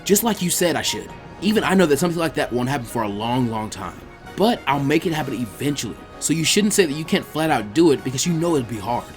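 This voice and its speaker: A man's voice: medium pitch, animated, quick pace, soft background music, natural voice. A man voicing a brash, earnest twelve-year-old ninja boy who urges a friend on in an informal, emphatic way. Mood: encouraging, determined.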